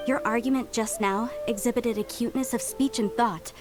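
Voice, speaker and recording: A woman's voice: fairly high pitch, fairly even intonation, normal speed, lightly acted. A woman voicing a polite young female scholar in a video game, earnest and precise, with a bookish way of talking. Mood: earnest, probing. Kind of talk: video game dialogue acting. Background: faint game music.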